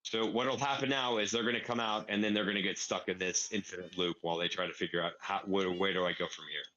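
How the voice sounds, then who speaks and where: A man's voice: deep voice, steady tone, fast, sharp tone, lo-fi sound. A man explaining a game build casually, step by step, thinking aloud as he plays. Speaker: player explaining a farm build. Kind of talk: casual gaming tutorial.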